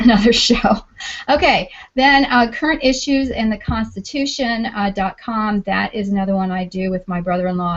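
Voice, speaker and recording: A woman hosting a casual group video chat, chatty and self-joking, talking over a call mic. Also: mid-range voice. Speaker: podcast network host. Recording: dull lo-fi online call audio.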